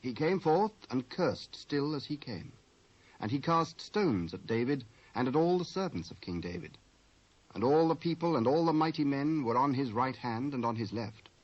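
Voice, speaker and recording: A British man narrating scripture in a solemn, measured, scripted style. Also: mid-range voice, expressive. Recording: dull lo-fi cassette sound.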